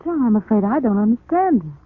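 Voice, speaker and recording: An earnest young wife in a forties radio soap, high in pitch and very animated. She is affectionate but firm and reassuring, heard through a thin, narrow old broadcast recording. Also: fast talker. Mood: puzzled, guarded. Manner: earnest, crisply insistent, affectionate. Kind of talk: 1940s radio soap opera acting. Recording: muffled, echoey 1940s radio broadcast recording.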